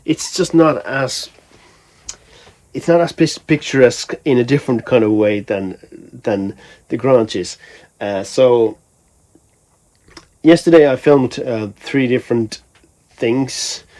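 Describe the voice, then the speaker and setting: A man's voice: medium pitch, expressive, slow, pauses often, a little mellow. A man from Finland, a musician, talking casually in a rambling, think-aloud way for a vlog. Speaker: musician vlogger.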